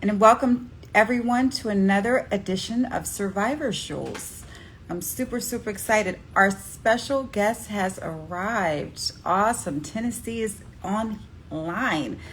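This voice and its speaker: A woman's voice: medium pitch, a little slow, slight background noise, rough recording. A woman hosting a live online interview show, bubbly and effusive as she welcomes her guest. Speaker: host.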